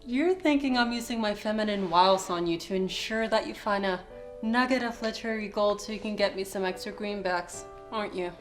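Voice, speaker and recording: A woman acting as a coy, arch schemer flirting in a web series. Her voice sits at a middle pitch. Soft music plays behind her. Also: fairly quick, close to an everyday voice. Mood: coy, flirtatious. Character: flirtatious, scheming female suitor, playfully literary. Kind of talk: acted web series comedy-drama.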